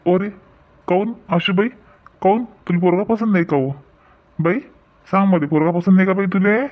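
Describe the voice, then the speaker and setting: Guy doing a reassuring village dad in a cartoon, slightly high voice, varhadi marathi accent, plain homely talk. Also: normal speed, phone-quality sound. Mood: cheerful, inquiring. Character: practical, reassuring middle-aged village father of a bride. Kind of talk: homemade cartoon family skit acting.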